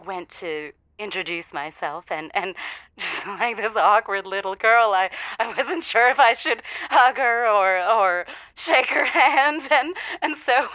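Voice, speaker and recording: Actress doing shy flustered lady caller, slow n stammery, giggly, dull dry phone sound, audio drama. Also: mid-range voice, no room sound, lightly acted. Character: flustered, shy woman confessing a new crush.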